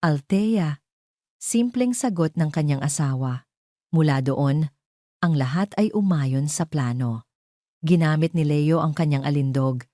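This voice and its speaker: A woman's voice: deep voice, a little slow, dark tone, dry recording, clean studio sound. A woman with a Filipino accent narrating a scripted dramatic story.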